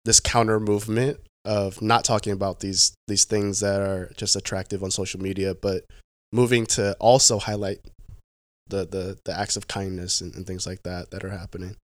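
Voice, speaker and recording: A young man speaks in a low voice, easygoing but halting, restarting phrases and leaning on fillers as he tells his story. The sound is dry and close, with no room behind him. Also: flat delivery, dry recording. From Pacific Northwest, United States. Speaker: guest. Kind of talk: casual conversational interview.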